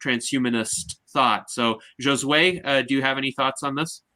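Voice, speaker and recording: A man hosting an online panel, talking in a measured, formal and orderly way and handing the floor to each guest. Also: medium pitch, pushed and bright. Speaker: host and moderator.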